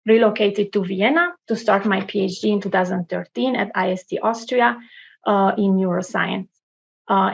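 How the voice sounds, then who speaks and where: Romanian-born woman giving a halting career talk. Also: medium pitch, creaky, dull audio. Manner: halting, many fillers. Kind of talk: career presentation with slides.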